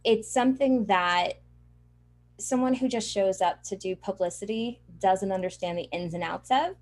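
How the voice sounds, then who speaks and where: A woman guest explains her work in an interview. Her voice sits at an average pitch, and she talks in an earnest, assured manner. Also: normal speed, darkish tone. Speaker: interview guest, publicity trainer and journalist.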